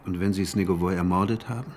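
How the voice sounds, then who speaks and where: A man's voice: deep voice, fairly even intonation, fast, darkish tone, a little hiss or hum, natural voice. A man playing a frightened, brooding astronomer with a sarcastic edge in a radio drama, speaking in an agitated, questioning way. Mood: uneasy questioning.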